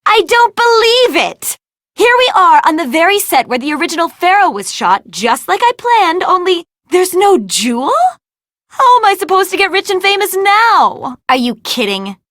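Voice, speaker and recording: A woman's voice: very high-pitched, big pitch swings, moderate pace, a bit bright, no room sound, studio quality, heavily acted. A woman voicing a vain, ditzy young movie actress in an adventure game, gushing and theatrical in a gossipy way. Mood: frantic, excited. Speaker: video game voice actor. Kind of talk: animated adventure game dialogue.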